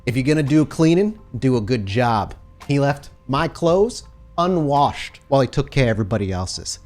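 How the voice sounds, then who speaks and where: A man's voice: mid-range voice, animated, moderate pace, darkish tone, soft background music, voice pushed up, natural voice. A man voicing a crude, menacing male thug in a tabletop game, brusque and foul-mouthed. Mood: irritated menace. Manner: brusque, swearing.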